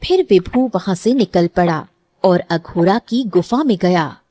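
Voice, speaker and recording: A woman's voice: lowish voice, animated, a little slow, mellow, no room sound. A woman narrating a scripted story as a storyteller.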